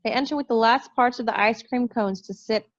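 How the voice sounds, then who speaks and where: A woman's voice: on the high side, brisk. A woman reading stage directions as scripted narration in a play table read over a video call. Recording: muffled video-call audio.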